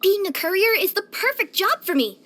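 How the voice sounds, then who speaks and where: A woman voicing a cheerful, curious young female cat yokai in a video game, with a light girlish voice and an expressive, chatty delivery. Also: extremely high pitch, a little fast, full character voice. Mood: determined. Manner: expressive, eager, chatty, wistful at times. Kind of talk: scripted game character voice-over.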